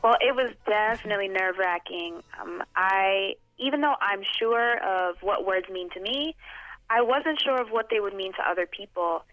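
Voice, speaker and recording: A woman's voice: medium pitch, no room sound, faint music behind. Thoughtful young woman with dull, dry sound. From Boston. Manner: thoughtful, measured, hesitant with frequent "um". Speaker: guest poet. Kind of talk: interview conversation.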